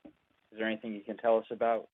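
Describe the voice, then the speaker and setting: A man's voice: lowish voice, completely flat delivery, quick pace, no room sound. A man asking short questions as a sports reporter on a press conference call. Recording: dry, narrow phone line.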